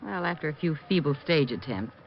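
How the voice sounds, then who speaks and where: A woman's voice: deep voice, lively intonation, fairly quick. A woman playing a friendly, earnest young carnival fortune teller in old-time radio style. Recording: dull old radio recording.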